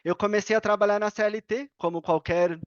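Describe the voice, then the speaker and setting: Male podcast guest, Brazilian accent, high-pitched, casual storyteller, dry recording with dulled sound. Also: fairly even intonation, fairly quick, no echo, highs cut off.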